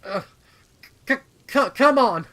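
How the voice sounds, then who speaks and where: A man's voice: extremely high pitch, lively intonation, slow, pushed and bright, voice pushed up, exaggerated voice. A man voicing a desperate country mare, a grown older sister, in a folksy, stammering drawl for a dramatic story reading. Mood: straining, urgent.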